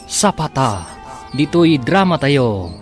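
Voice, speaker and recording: Slow, measured male radio drama announcer. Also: mid-range voice, very animated. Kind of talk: dramatic scripted narration. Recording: loud music bed behind voice.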